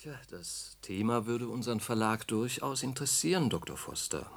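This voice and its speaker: A man's voice: mid-range voice, lively intonation, normal speed, creaky, a little hiss or hum. A man playing a polite but sceptical publisher in a German radio drama, courteous, wordy and evasive. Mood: politely doubtful.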